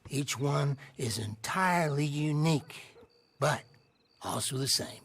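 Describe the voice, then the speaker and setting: An actor playing an eager, pompous frontier house salesman with florid, smooth patter. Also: on the high side, a little slow, a bit bright, full character voice. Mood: slick, eager.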